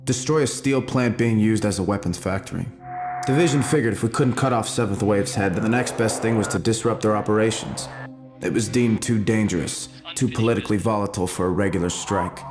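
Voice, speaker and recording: An actor playing a terse, weary soldier who answers questions defensively in a game cutscene. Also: male voice, on the low side, a little fast, over music, close to an everyday voice. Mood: matter-of-fact. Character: guarded, weary male soldier under interrogation. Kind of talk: dramatic game cutscene acting.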